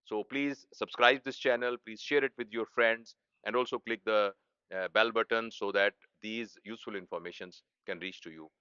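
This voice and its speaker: A man with a Pakistani accent, a native Urdu speaker, explains things simply as a professor giving a lecture, haltingly rephrasing as he goes. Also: on the low side, steady tone, sharp tone, clear, resonant tone, no room sound, muffled recording. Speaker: medical professor presenting an educational channel.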